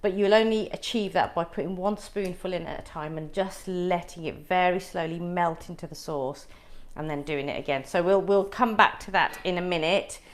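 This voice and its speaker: A woman's voice: lowish voice, moderate pace. A middle-aged woman whose first language is Punjabi, chatting as she demonstrates a recipe step by step for viewers in a live cooking class. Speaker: cooking teacher.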